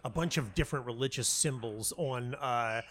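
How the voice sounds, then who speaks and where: American man reminiscing in a halting, rambling way. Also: medium pitch, fairly bright tone. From United States (North Carolina). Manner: halting, self-interrupting, rambling storyteller with repeated words. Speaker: interview guest, playwright and audio dramatist. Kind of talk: casual reflective interview conversation. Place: hotel lobby.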